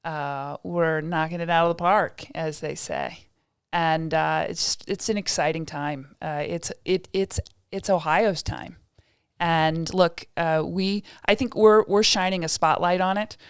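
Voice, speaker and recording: A woman's voice: deep voice, fairly even intonation, a little slow, clear, resonant tone, no room sound, clean studio sound. A woman from Indiana, a state development director, chatting as a guest on a podcast, with lots of fillers and restarts. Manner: chatty, many fillers and restarts, self-deprecating laughs. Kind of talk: casual interview talk.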